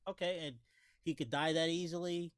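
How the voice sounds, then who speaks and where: A man's voice: a little high, fairly even intonation, normal speed, smooth, no echo, clean studio sound. A man co-hosting a movie review podcast, rambling casually with lots of restarts and fillers. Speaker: podcast co-host.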